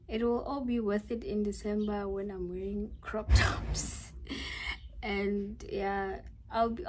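A woman's voice: medium pitch, darkish tone, a little hiss or hum, rough recording. A young woman from South Africa chatting casually in a vlog, giggly and thinking aloud as she goes. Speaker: vlogger.

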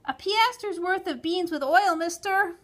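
A woman voicing a shrill, loud, easily flustered young boy who protests, in a storybook reading. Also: measured pace. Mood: calling out.

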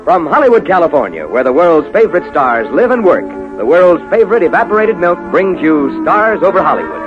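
Animated American man, vintage radio announcer. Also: a little high, fairly quick, over music. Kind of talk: 1950s sponsor announcing and scripted narration. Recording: muffled 1950s radio recording.

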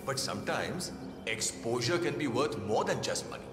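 A man's voice: mid-range voice, animated, moderate pace, reverberant, in a large hall, music in the background, natural voice. An actor playing a vain, stingy male film star in a game, smug and cajoling in a showy way. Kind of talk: acted game cutscene dialogue.

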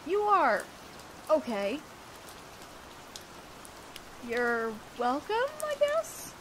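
A young woman playing an awkward, earnest teenage student council president in a romantic audio roleplay, speaking in a shy, halting way. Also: high voice, wildly swooping pitch, noisy background, heavily acted. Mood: flustered.

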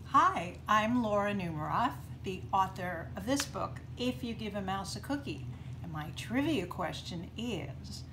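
A woman in her sixties, a children's author, asking kids a trivia question. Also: mid-range voice. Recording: noisy home phone video.

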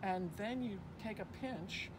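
An older woman co-hosting a foraging class outdoors, earnest, chatty and gently spiritual, filmed by a phone a few meters away. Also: mid-range voice, unhurried. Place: outdoor garden under a canopy. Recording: outdoor, traffic noise behind.

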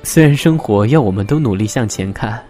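A man's voice: on the low side, lively intonation, fairly quick, mellow, close to an everyday voice. A man acting in an audio drama as a weary, conflicted young man, restrained and introspective. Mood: quiet, reflective. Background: background music.

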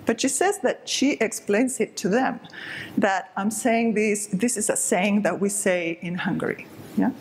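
A woman's voice: mid-range voice, unhurried, slight background noise, rough recording. A middle-aged woman from southern Spain, giving an explanatory, emphatic stage talk to an audience. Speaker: speaker. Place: dark stage with curtain.

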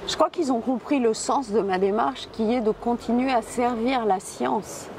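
A woman's voice: a little high, moderate pace, noisy background. Thoughtful woman on a café terrace. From Tunisia. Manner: reflective, with frequent hesitations and restarts. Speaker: theatre director and physicist. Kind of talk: interview answers. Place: outdoor café terrace and street in a town. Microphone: lapel mic.

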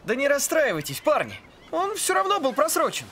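An actor voicing a carefree, cheeky young male pirate captain in an animated film. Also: sits high, a little fast, slight background noise, heavily acted. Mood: breezy, protesting.

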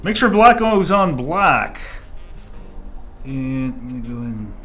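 Korean-born guy soldering wires at a bench, rambly, chatty, jumps up into excited yelps, faint music playing. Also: slow pace. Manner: chatty, jumps into excited high exclamations and mutters asides. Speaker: 3D printing tutorial host. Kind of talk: casual hands-on tutorial. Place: indoor workbench. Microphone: off-camera, hands-only shot at workbench.

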